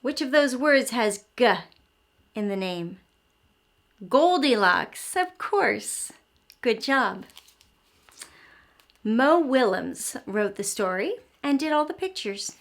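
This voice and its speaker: A woman's voice: on the high side, big pitch swings, slow pace, pauses often. A woman hosting an interactive read-aloud for young children, telling the story expressively and explaining as she goes. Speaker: host of children's early learning show.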